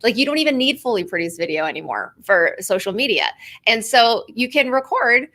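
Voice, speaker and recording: A woman's voice: fairly high pitch, lively intonation, a little fast, bright, forceful tone. A woman in her thirties, a guest on an interview show, chatting casually and saying "like" a lot, laughing easily. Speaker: guest, video marketing expert.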